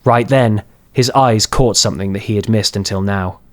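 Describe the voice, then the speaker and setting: A man's voice: lowish voice, normal speed. Man narrating a dramatic fantasy audiobook.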